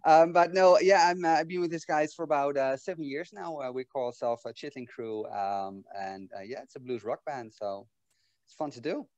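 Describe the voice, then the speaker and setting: A man's voice: a little high, moderate pace, no echo. Dutch-accented man on a video call. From Netherlands. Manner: relaxed, many fillers and restarts. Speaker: guest author. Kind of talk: casual interview chat over video call. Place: home room.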